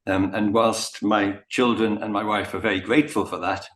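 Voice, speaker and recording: A man's voice: lowish voice, little pitch movement, normal speed. A middle-aged man hesitantly and carefully putting a question in an online meeting. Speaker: audience member asking a question. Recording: roomy, echoing meeting audio.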